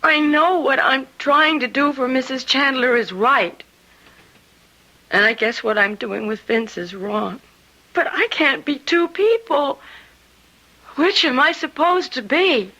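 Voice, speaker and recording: An actress playing an earnest young secretary torn by love and duty in a radio drama, hesitant and pleading. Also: female voice, sits high, takes it slowly, bright, forceful tone, full character voice, rough recording. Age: young adult.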